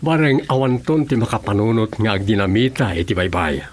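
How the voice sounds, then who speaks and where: An actor playing a calm, measured governor in an Ilocano radio drama. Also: male voice, lowish voice, natural voice.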